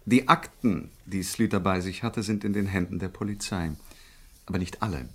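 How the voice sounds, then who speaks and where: An actor playing a jovial but calculating crime boss of about forty in a radio drama, polite and persuasive. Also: male voice, deep voice, normal speed, husky. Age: around 40.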